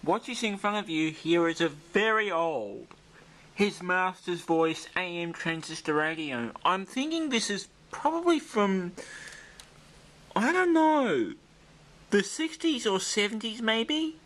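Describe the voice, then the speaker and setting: A man's voice: sits high, expressive, unhurried, a bit bright. An Australian man casually presenting a demonstration video, informal and rambling as he thinks aloud. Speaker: video host demonstrating a vintage radio.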